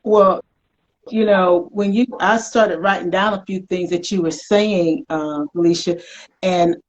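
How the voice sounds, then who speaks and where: A woman's voice: lowish voice, steady tone, measured pace, poor audio. An American woman hosting a casual interview in a chatty, playful, folksy way. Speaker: talk show host.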